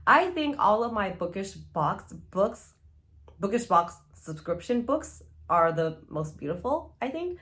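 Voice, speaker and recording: A woman's voice: mid-range voice, big pitch swings, measured pace, a bit bright. A woman chatting casually as a book vlogger, gushing and rambling informally with frequent asides.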